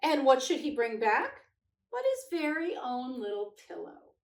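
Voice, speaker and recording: A woman with a fairly high voice reads aloud for children in a gentle, unhurried way. Her pitch moves in lively swings as she tells the story. She sounds roomy and echoey. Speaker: read-aloud host. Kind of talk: storybook read-aloud with casual chat. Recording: echoey room, distant microphone.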